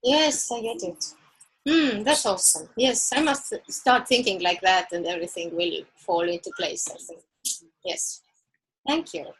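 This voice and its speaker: A woman's voice: mid-range voice, unhurried, rough recording. A woman who is not a native English speaker, responding briefly and agreeably as a client on a casual coaching call.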